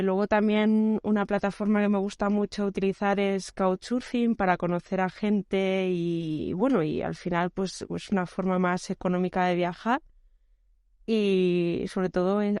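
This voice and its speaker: A woman's voice: fairly low pitch, fairly even intonation, unhurried, darkish tone, clean tone, no echo, clean studio sound. A woman talking as a guest on a casual podcast, easygoing and searching for her words as she goes. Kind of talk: casual conversational interview.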